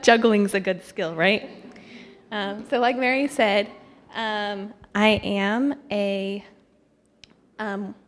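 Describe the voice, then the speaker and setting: Young woman, guest speaker at church, conversational devotional delivery, mid-range voice, long hall reverb. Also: medium pitch. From Thailand. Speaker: guest speaker, missionary candidate. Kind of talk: informal church testimony and devotional.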